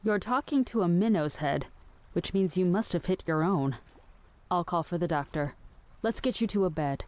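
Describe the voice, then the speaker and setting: Low-voiced, exasperated, practical woman, acted. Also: lively intonation, normal speed, natural voice. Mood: dry, concerned. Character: exasperated, queasy, practical woman minding her raving companion. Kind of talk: scripted audio drama acting.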